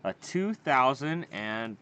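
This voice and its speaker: A man's voice: mid-range voice, big pitch swings, slow pace, bright, forceful tone. A man hosting a casual family coin hunt outdoors, keeping score and explaining finds in an encouraging way. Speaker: coin hobby host. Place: outdoors at a campsite table.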